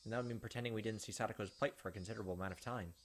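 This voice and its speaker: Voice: mid-range voice, racing. A let's play host reading a visual novel aloud and adding casual, sympathetic commentary. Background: game music.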